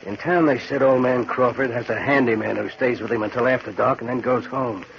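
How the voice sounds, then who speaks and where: A man's voice: medium pitch, steady tone, brisk, gravelly, lightly acted. A male actor plays a scheming, commanding tough detective in a mid-range voice. He is brusque and full of slang, acted in 1940s radio style, and the old recording is dull and slightly noisy. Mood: bossy. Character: bossy, brash, scheming tough posing as private detective. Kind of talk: 1940s radio crime drama acting.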